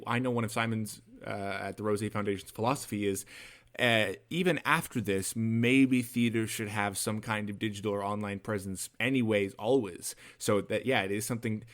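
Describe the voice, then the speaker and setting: A young man co-hosting a podcast, chatting and interviewing in a casual, bantering, somewhat halting way. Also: on the low side, a bit bright. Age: young adult. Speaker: podcast co-host.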